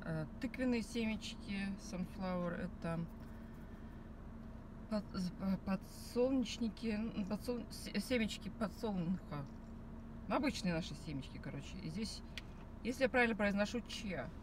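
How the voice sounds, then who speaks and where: A woman in her thirties talks to the camera from her parked car, chatty and rambling, full of fillers and restarts. Her pitch sits in the middle of the range. Steady car and street noise runs behind her voice. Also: unhurried. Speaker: vlogger. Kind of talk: casual chat. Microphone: phone camera on the dashboard.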